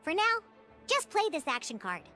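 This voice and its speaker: A woman voicing a peppy young cartoon mascot in a video game tutorial, chirpy and childlike, eagerly explaining with expressive exclamations. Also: very high voice, normal speed, faint music behind, exaggerated voice. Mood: explaining, encouraging.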